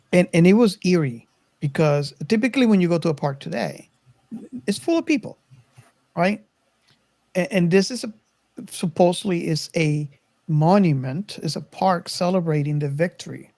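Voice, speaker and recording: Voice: mid-range voice, expressive, moderate pace, gravelly, pauses often, darkish tone, dry recording. A podcast co-host casually discussing a film, hesitant and often searching for words.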